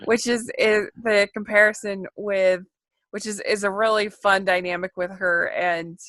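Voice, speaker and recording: A woman co-hosting a casual film discussion podcast, talking in a chatty, rambling way with halting delivery. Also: mid-range voice, fairly even intonation, a bit bright, no echo. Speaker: podcast co-host reviewing animated films.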